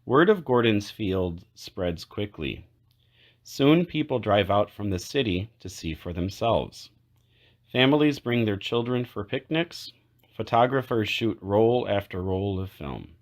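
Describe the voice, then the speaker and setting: A man with a low, deep voice reads a children's storybook aloud. His intonation rises and falls in lively swings, and he sets phrases apart with deliberate pauses. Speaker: children's book read-aloud narrator. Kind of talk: read-aloud storybook narration.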